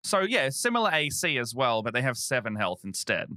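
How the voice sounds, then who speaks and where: A man's voice: mid-range voice, big pitch swings, a little fast, bright, forceful tone, no echo, clean studio sound. A man chatting casually as a panelist on a podcast. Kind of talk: casual chat.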